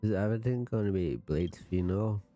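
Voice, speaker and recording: A man streaming a game with casual, offhand, low-key mumbled commentary. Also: fairly quick, dark tone, faint music behind.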